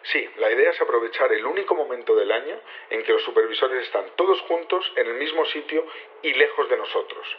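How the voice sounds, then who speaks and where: An actor playing a composed team leader, explaining methodically and politely in an audio drama. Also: male voice, medium pitch, natural voice. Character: composed male leader briefing his conspirators, methodical. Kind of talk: naturalistic audio drama acting. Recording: slightly dull radio-like sound.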